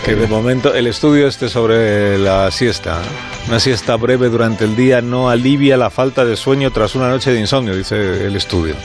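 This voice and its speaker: A man's voice: mid-range voice, moderate pace. A man hosting a light comedy radio show, bantering with his guests. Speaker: radio host. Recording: loud music behind the voice.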